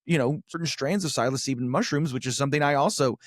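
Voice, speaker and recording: Middle-aged man, animated and wry, dry close sound. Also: medium pitch, fast, no echo. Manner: animated, profane, wry philosophizing with colorful comparisons. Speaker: podcast guest. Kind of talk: casual chat, rambling storytelling.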